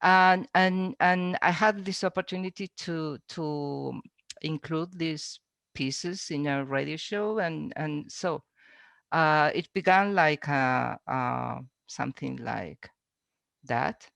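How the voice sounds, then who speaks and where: Slow, gravelly woman. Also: sits low, a bit bright, no room sound. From Spain. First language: Chinese. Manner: halting, frequent restarts and repeated words. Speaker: writer and panelist.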